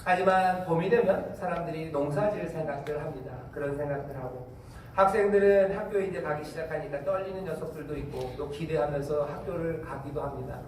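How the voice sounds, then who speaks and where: Korean pastor in an echoey church. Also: male voice, on the high side, fairly quick, darkish tone. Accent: Korean, Incheon. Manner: conversational preaching with fillers and asides. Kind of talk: sermon. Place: church sanctuary. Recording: echoey hall, distant mic, faint noise.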